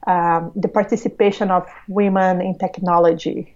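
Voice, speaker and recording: Excited Brazilian-accented woman, slightly muffled. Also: fairly low pitch. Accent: Brazilian Portuguese. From Latin America. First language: Portuguese. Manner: warm, excited, halting restarts. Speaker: podcast guest. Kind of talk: conversational interview.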